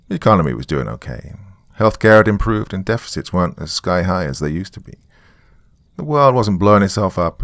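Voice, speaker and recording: A man's voice: deep voice, vocal fry, dry recording, studio quality, full character voice. A man voicing a weary, sardonic small-town man, rambling wryly through a taped confession in a horror story. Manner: rambling, wry, colloquial. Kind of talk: dramatic monologue as a taped confession.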